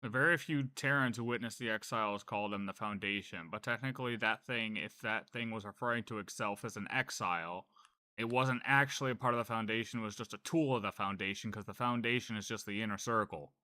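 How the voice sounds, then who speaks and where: A man giving casual live game commentary, thinking aloud in an animated, cocky way. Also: on the low side, brisk, dry recording. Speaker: game streamer.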